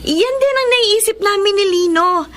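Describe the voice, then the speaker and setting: Bright, high-voiced young Filipino woman. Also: lively intonation, unhurried, a little hiss or hum, exaggerated voice. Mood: playful, eager. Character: sweet, grateful young blind female former student. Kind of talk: Filipino radio drama acting.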